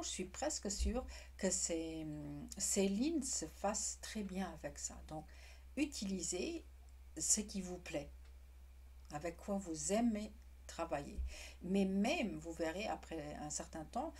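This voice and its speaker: A woman teaching a craft in French, with a non-native accent, speaking in a halting way with frequent restarts. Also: on the low side, warm tone, breathy edge. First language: not French. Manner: halting, restarts words often. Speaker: witchcraft teacher. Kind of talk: casual explanatory tutorial.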